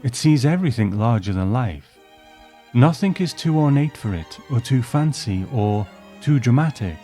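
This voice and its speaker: A man voicing a gracious, refined old married woman with measured, reflective phrasing, in a storybook reading. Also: medium pitch, expressive, warm tone, faint music behind, voice pushed up, close to an everyday voice. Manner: measured, ornate, philosophical phrasing.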